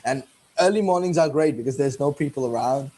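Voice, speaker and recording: A man's voice: mid-range voice, fairly quick, dark tone, a little hiss or hum. Teen male interviewer, loose and rambling, self-correcting, casual. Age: teens. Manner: rambling, repeats and corrects himself.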